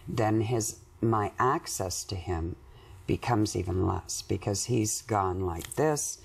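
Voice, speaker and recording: A middle-aged woman in couples counseling, explaining her feelings in a measured, reflective way with pauses between phrases. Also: extremely low pitch, vocal fry, husky. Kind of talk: reflective personal conversation. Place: small TV-style studio set, indoors.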